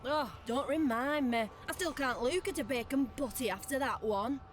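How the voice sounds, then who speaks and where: High-voiced adventuress, played by a woman. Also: normal speed, lightly acted. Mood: wry disgust. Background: loud music.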